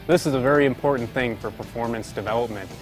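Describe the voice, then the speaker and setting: A man's voice: mid-range voice, quick pace. A man giving an informal technical explanation as an engineer presenting product features. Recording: music playing behind the voice. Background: background music.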